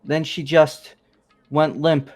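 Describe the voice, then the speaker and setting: A man's voice: fairly high pitch, little pitch movement, takes it slowly, a little mellow, soft background music. A man voicing a nervous young man who rambles defensively through a confession, as fan voice acting of a game. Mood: anxious.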